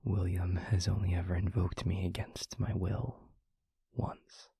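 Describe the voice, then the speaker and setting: Man playing a hushed, husky, low-voiced brooding vampire. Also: little pitch movement, no room sound, exaggerated voice. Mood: somber, reflective. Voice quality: breathy, husky half-whisper with gravelly fry. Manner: halting, hushed, earnest, with long pauses. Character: brooding, gentle male vampire boyfriend confiding his past. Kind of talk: intimate audio roleplay, soft confessional monologue.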